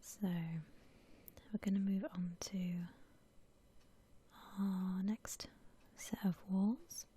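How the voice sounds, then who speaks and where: A woman whispers her way through a game in ASMR style. Her voice is very breathy and almost unvoiced, soft and mellow in tone. She takes her time, relaxed and gentle, with slow phrasing. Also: mid-range voice. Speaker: video game streamer narrating her building session.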